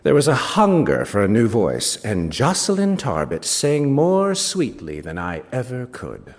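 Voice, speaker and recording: An actor playing a wry male British novelist, reading a first-person literary story in an expressive, savouring way with small asides. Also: mid-range voice, unhurried. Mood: fond, wry. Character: wry male British novelist recounting a rivalry with his friend. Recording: reverberant hall, stage reading.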